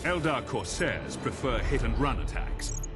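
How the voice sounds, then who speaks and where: An actor playing an imperial naval officer, delivering a formal, instructive military briefing in a game. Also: male voice, medium pitch, expressive, a little slow, over loud music, close to an everyday voice. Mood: serious, instructive. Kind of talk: scripted military briefing narration.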